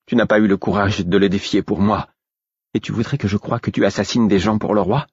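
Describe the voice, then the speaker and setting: A man's voice: lowish voice, fairly even intonation, fairly quick, slightly rough, no echo, lo-fi sound, pitched above the performer's own voice. A man voicing a furious, wounded young woman in an audiobook, indignant, scornful and biting. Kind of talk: audiobook character acting.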